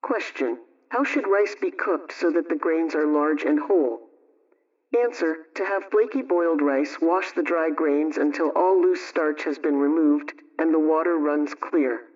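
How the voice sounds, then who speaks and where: A man reading scripted household advice and recipes in a measured, instructional way, like a 1920s radio programme host. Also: on the high side, a little mellow. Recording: dull, distant vintage radio sound.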